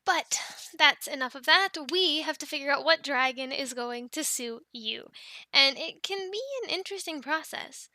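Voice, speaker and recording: A woman's voice: sits high, moderate pace, pushed and bright, no room sound. A woman playing a cheerful young Viking dragon keeper in an ASMR roleplay, chatting affectionately as she coaxes and explains. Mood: chatty, explaining. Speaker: amateur audio roleplay performer. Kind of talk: ASMR roleplay acting, speaking to the listener.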